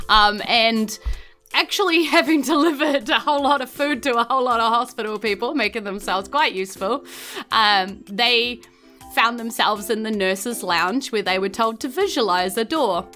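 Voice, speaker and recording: Female game master, New Zealand accent, bright high-ish voice, informal narration with music behind.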